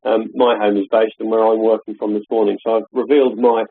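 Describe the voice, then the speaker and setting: A man giving a hesitant, filler-heavy software demonstration on a live call from his home office. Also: on the low side, level tone, fast talker, breathy edge, phone-quality sound. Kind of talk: live webinar product demo. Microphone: call audio.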